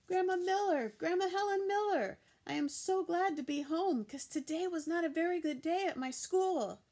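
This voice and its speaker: A woman voicing an excitable, bossy little girl of about six, shouting in short breathless bursts as an audiobook character. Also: very high-pitched, moderate pace, pitched above the performer's own voice. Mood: excited, frantic.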